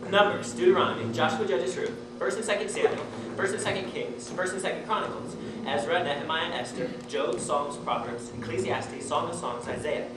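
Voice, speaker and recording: A man's voice: a little high, fairly quick, few pauses, lightly acted. A man playing an earnest, awkward, polite young man on a blind date in a live stage comedy. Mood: earnest, proud. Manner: eager, polite, plain-spoken. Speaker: student stage actor. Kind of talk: live one-act stage comedy acting. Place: theatre stage, recorded from afar. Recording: echoey noisy stage, distant mic.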